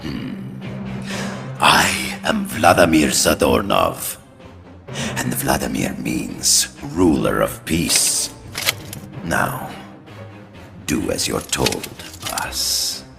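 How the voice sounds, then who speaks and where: An actor playing a smug, scheming middle-aged Soviet spymaster, speaking theatrically in a dramatic video game. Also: male voice, extremely low pitch, animated, drawn-out words, gravelly, long pauses, over music, exaggerated voice. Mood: menacing.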